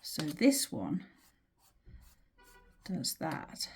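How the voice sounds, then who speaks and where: A woman teaching in an informal, explanatory way, with measured, emphatic phrasing and pauses as she talks through a drawing. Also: deep voice, huge pitch swings, vocal fry, a little hiss or hum. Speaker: teacher of earth energy dowsing.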